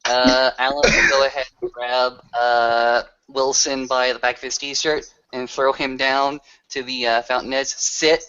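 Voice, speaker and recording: A man's voice: medium pitch, level tone, measured pace, hardly any pauses, sharp tone, lo-fi sound, slight background noise. A man narrating his character's actions casually as a player in a tabletop roleplay.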